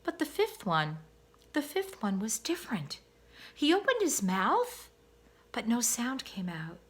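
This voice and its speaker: A woman teacher reading a children's novel aloud, careful with small slips. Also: a little high. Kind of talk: storybook read-aloud.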